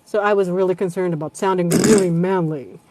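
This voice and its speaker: A woman's voice: lowish voice, fairly even intonation, a little fast, warm tone, poor audio. Woman, nasal voice, audiobook narrator, loose casual talk, swears freely, acts out quoted conversations. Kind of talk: casual panel chat.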